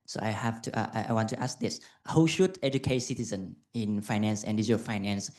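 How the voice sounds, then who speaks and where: A man asking an audience question at a conference, halting with many fillers. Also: lowish voice, fairly even intonation, darkish tone. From Vietnam.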